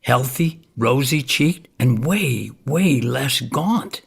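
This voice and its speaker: Animated, raspy neighbor telling tales. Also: male voice, mid-range voice, slow, exaggerated voice. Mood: amazed, baffled. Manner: animated, conversational, acts out dialogue. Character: excitable, folksy neighbor turned amateur vampire hunter. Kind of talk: comic first-person storytelling monologue.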